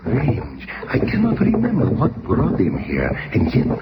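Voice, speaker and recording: An actor playing a proud, embittered undersea prince, imperious and theatrical, in a 1970s superhero radio drama. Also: male voice, mid-range voice, wildly swooping pitch, unhurried, muffled recording. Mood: proud, brooding. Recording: very noisy old 1970s radio recording.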